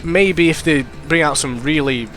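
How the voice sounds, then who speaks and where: A man's voice: a little high, normal speed, soft background music. A young man, congested and sniffly, commentating casually over his own gameplay, rambling and correcting himself as he goes. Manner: rambling, self-correcting, excitable reactions. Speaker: gaming commentator.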